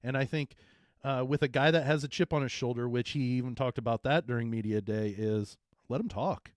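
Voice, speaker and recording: A man who is a former coach co-hosting a sports talk show, with a deep voice and a rambling, emphatic manner. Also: brisk, no room sound, clean studio sound. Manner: rambling, restarts often, emphatic.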